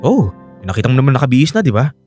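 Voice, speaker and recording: A man's voice: medium pitch, very animated, rapid-fire, over music, close to an everyday voice. A male actor playing a troubled, defensive unfaithful young husband in a radio drama, both narrating and in dialogue. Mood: evasive, irritated. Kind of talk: Filipino radio drama acting and first-person narration.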